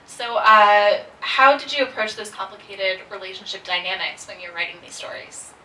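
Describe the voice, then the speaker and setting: A woman's voice: medium pitch, moderate pace, background noise. A woman moderating a book panel for the first time, upbeat and a little nervous. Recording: echoey room, from a distance.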